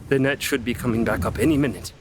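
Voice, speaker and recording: A man playing an earnest, harried young knight in a fantasy audio drama, urgent and deferential, with a creaky voice. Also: medium pitch, fairly even intonation, quick pace, noisy background, close to an everyday voice.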